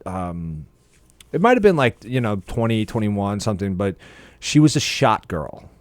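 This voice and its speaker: A man's voice: lowish voice, very animated, normal speed, no room sound. An American man in his thirties chatting casually and profanely as a podcast co-host. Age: 30s.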